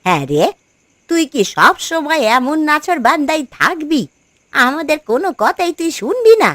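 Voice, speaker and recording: A woman's voice: sits high, very animated, normal speed, pushed and bright, no room sound, heavily acted. A woman voicing a kind old grandmother in a cartoon, talking with tender, sighing sympathy. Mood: chiding.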